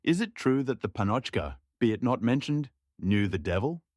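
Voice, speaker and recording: A man's voice: medium pitch, very animated, moderate pace, darkish tone, no echo, clean studio sound, voice pushed up. A man voicing a curious, gossipy young shepherd in a storybook tale. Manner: inquisitive, gossipy. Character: curious young male shepherd, eager about witch gossip.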